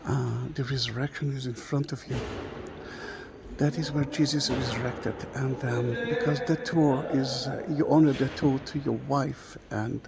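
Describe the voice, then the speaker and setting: A man whose first language is Hebrew, guiding a slow, halting walking tour inside a large stone church, recorded on a camera mic. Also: medium pitch, fairly even intonation, creaky, heavy background noise. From Israel. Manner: slow, halting, with many fillers. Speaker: tour guide.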